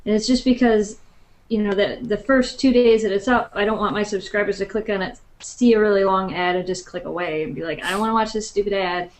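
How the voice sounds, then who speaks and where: Casual woman with a mid-pitched voice. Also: steady tone, a little fast, slight background noise. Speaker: panelist, video creator. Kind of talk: casual chat.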